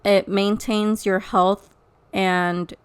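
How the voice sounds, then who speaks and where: A woman's voice: medium pitch, level tone, very slow, smooth. A young woman co-hosting a podcast in easygoing casual chat, reading out a list with her own asides. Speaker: podcast co-host.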